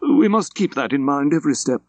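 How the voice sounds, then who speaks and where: A clipped, insistent British intelligence man in a spy-tinged audiobook, acted by a male reader. The voice sits fairly high and sounds very close and dry. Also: expressive, a little fast, darkish tone, no echo. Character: lean, clipped English intelligence official.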